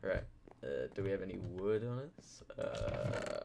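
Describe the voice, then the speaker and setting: A man's voice: low voice, huge pitch swings, a little slow, creaky, heavy background noise. A young man just woken up, rambling casually and drowsily as he comments on his gameplay. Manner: rambling, drowsy, self-mocking. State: just woken up, deep morning voice.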